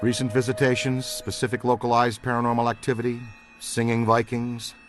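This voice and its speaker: An actor voicing an enthusiastic, curious middle-aged ghost-hunting scientist in a video game, with an American accent. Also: male voice, medium pitch, monotone, fairly quick, close to an everyday voice. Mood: thoughtful, focused. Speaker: paranormal investigator character. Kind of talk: acted video game dialogue. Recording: music behind the dialogue.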